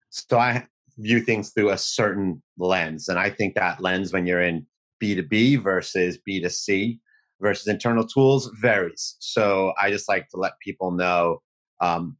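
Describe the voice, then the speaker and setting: A man in his forties, speaking as a mentor in a measured, explanatory way over a video call from a home kitchen. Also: sits low, a bit bright. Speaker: mentor giving a talk. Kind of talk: online presentation.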